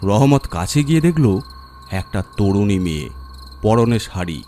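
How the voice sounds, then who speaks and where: Slow, dramatic male horror narrator. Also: lowish voice, sing-song, mellow, a little hiss or hum. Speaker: story narrator.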